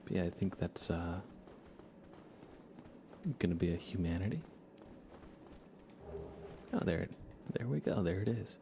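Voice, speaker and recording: A man giving soft-spoken, casual ASMR gaming commentary in a hushed voice that often slips into a whisper. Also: low voice, animated, unhurried, creaky, pauses often, slight background noise. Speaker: ASMR livestreamer.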